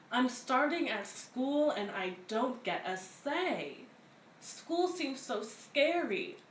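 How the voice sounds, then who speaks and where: A woman reading a children's picture book aloud in an expressive, deliberate way, as a story-time reader. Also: fairly high pitch. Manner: slow, deliberate, animated delivery for young children. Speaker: read-aloud story reader at a community center. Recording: roomy, distant mic, faint background noise.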